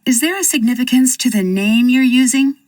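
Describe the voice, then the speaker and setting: An actress voicing a confident young American amateur detective who asks direct, probing questions with dry wit. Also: female voice, sits high, a little fast, darkish tone, no echo, far from the mic, natural voice. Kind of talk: video game voice acting.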